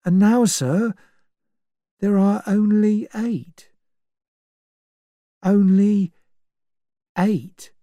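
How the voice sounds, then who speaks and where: A woman's voice: medium pitch, drawn-out words, long pauses, warm tone, dry recording, pitched above the performer's own voice. A woman voicing a shaken, agitated male butler who pleads to be believed.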